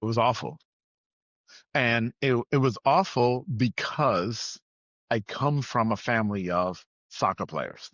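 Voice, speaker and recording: A man's voice: medium pitch, takes it slowly, a bit bright, dry recording. Emphatic man, slightly muffled recording. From Trinidad and Tobago, moved to the US at nine. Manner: emphatic, repeats phrases for weight. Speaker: podcast guest. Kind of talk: conversational personal storytelling. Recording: slightly dull, lo-fi sound.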